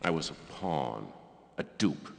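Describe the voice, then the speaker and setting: An actor playing a desperate, bitter assassin in a fantasy video game, with a dramatic, sardonic delivery. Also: male voice, rumbling low, lively intonation, slow, gravelly, full character voice. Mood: bitter, resigned. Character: desperate, bitter assassin, servant of a dark lord. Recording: long cavernous reverb under music.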